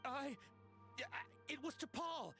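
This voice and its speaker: A woman's voice: high voice, expressive, slow, fairly bright tone, soft background music, exaggerated voice. A woman playing a cowardly, panicky poacher henchwoman in a video game, stammering nervously. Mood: nervous, evasive.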